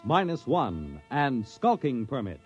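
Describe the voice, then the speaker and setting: A man's voice: mid-range voice, huge pitch swings, slow pace, faint music behind. A man with an American accent announcing from a script in the style of 1950s radio. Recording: dull noisy old radio recording.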